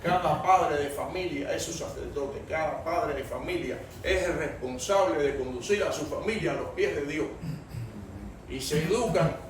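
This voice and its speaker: Older man, Cuban Spanish accent, somewhat high voice, church sermon, emphatic, repetitive phrasing, loud-to-hushed swings. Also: normal speed, a little hiss or hum, rough recording. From Cuba. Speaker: preacher. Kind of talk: sermon, teaching a church congregation.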